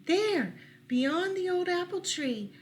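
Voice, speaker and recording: A very high, gentle storybook dove, acted out by a woman, cooing helpfully to the other animals. There is some noise behind the voice. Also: lively intonation, takes it slowly, exaggerated voice.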